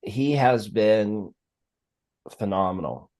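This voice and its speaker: Male sports stream host, lower-pitched voice, measured and explanatory, casual analysis. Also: expressive, a little mellow.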